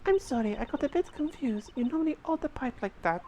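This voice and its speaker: A woman voicing a flustered, fussy female baker who is a witness in a fan dub of a courtroom game; excitable and defensive. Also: sits high, slight background noise. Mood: rambling. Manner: excitable, defensive, quick to snap.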